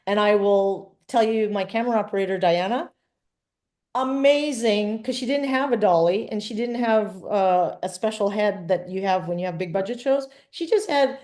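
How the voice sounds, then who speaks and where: A woman's voice: medium pitch, expressive, normal speed, a little mellow. Woman in her sixties, chatty storyteller. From born in Trinidad, grew up in Vancouver. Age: 60s. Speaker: filmmaker guest. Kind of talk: casual interview chat.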